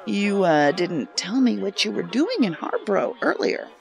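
A sardonic, nagging colleague in a radio play. Her voice is creaky with lively pitch movement. Music plays behind. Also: normal speed, low-quality recording. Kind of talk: audio drama dialogue.

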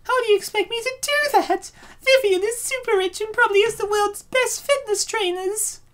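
Casting: actress as very high-voiced young cartoon woman, anxious, eager, over-earnest. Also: moderate pace, fairly bright tone. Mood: flustered. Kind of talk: comic dub acting.

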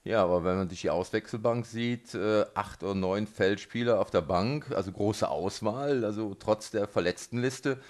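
A man hosting a local sports radio interview, phrasing his questions in a halting, hesitant way. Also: lowish voice. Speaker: radio host interviewing a football coach.

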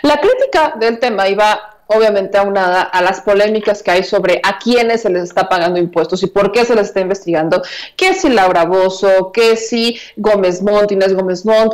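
A woman's voice: medium pitch, normal speed. A woman in her thirties with a Mexican accent giving news commentary from a small room at home, emphatic and conversational, repeating her points. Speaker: political commentator. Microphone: earphone mic.

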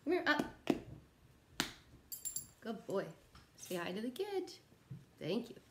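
A woman's voice: fairly high pitch, big pitch swings, slow pace, very noisy. A woman in her thirties reading a picture book aloud to young children in a sing-song way, recorded at home. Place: living room at home, indoors.

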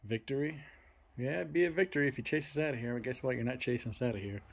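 A young man filming a ghost-hunting vlog in a dark abandoned farmhouse, talking to spirits and loudly challenging them. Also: medium pitch, fast talker, gravelly, crowd noise. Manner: loud provoking challenges to the spirits. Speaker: paranormal investigator.

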